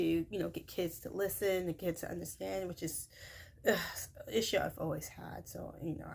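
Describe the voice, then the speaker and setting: A young woman who works in childcare recaps her day in a casual vlog, her high, shrill voice rambling with many fillers and self-corrections. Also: vocal fry. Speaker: childcare worker.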